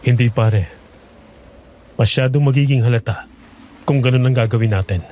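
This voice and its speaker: Man playing a mellow, determined young farmer. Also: mid-range voice, moderate pace, a little hiss or hum, close to an everyday voice. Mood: plotting. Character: determined young farmer Kevin, protective brother, firm, earnest. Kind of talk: Filipino horror audio drama acting.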